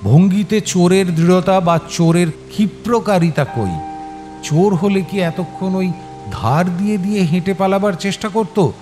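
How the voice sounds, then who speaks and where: A man narrating a scripted story in a dramatic, suspenseful and expressive manner. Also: sits high, unhurried. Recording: music behind the voice. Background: clear background music.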